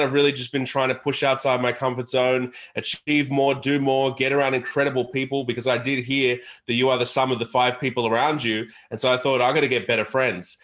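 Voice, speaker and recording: A man's voice: medium pitch, fairly bright tone. A man with an Australian accent answering interview questions as a guest entrepreneur, in an earnest, emphatic, motivational way with repeated phrases.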